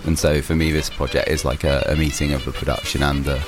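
A man's voice: a bass voice, level tone, fast talker, music in the background. A young man, a musician, answering interview questions casually, rambling with many restarts and fillers. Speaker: musician guest.

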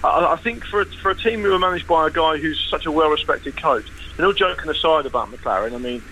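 Dry joking guy talking football fast, bright edgy voice, faint hiss behind. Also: fairly high pitch, animated, low-quality recording. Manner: wry, self-deprecating, quick talker with restarts. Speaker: football podcast guest and radio host. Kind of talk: casual podcast chat.

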